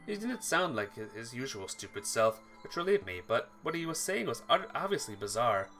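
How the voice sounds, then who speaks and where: A let's player reading a visual novel aloud as expressive narration, sometimes stumbling and rereading phrases. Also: medium pitch, moderate pace, soft background music. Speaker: video game let's player.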